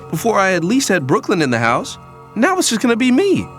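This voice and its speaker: A man's voice: high-pitched, wildly swooping pitch, fairly quick, faint music behind. A young man playing a disgruntled son in an audio drama, narrating in the first person in a casual, slangy, conversational way. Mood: pleading. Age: young adult. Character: disgruntled young son from a troubled wealthy family. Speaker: actor and narrator.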